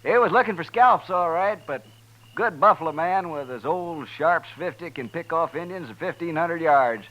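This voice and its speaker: An American actor playing a talkative, hospitable buffalo hunter in a 1950s radio western, with folksy, drawling rustic speech. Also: male voice, a little high, expressive, normal speed, natural voice. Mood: folksy, chatty. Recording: dull, band-limited 1950s radio recording.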